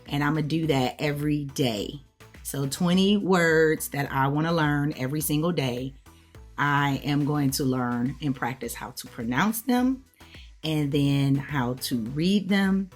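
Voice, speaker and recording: An American woman talking casually to viewers as a language learner, explaining things conversationally with frequent little check-ins like okay and right. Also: deep voice. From United States. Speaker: language learner hosting a video channel.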